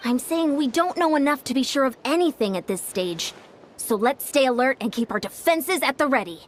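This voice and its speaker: A woman playing a wary, level-headed young military strategist in a video game, speaking in a measured, serious way. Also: sits high, expressive, a little hiss or hum. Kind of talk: dramatic video game voice acting. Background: faint music.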